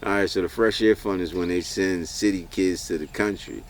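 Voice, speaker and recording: A farmer telling his life story in a relaxed, casual way, with a laugh now and then. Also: sits low, normal speed, a little hiss or hum. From New Hampshire.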